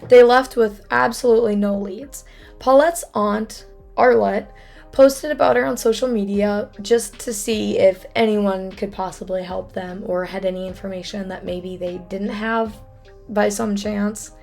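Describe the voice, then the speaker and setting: A young woman with a hoarse, froggy voice, casually telling a true crime case as a YouTube host, with chatty, apologetic asides. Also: medium pitch, fairly even intonation, measured pace, darkish tone, faint music behind.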